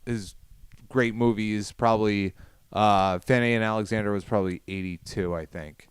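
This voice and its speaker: A man's voice: lowish voice, steady tone, normal speed, smooth, no room sound. A man co-hosting a film podcast, talking thoughtfully and analytically. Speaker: podcast co-host. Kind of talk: casual film podcast chat.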